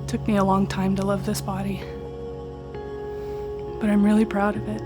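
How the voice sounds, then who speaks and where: A woman in her thirties speaking proudly and unhurried. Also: medium pitch, completely flat delivery, over music. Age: 30s. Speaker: participant.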